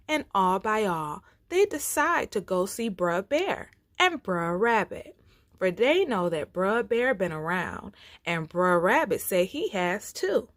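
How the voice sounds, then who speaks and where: Female read-aloud storyteller for kids, very expressive, takes her time, folksy and dramatic. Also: medium pitch, no room sound. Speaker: storyteller reading aloud for children.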